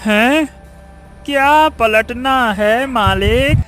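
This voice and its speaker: An actor voicing a sleepy, lazy, sardonic male donkey who drawls and grumbles in a comic animated story. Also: mid-range voice, very slow, faint music behind. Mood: drowsy, reluctant.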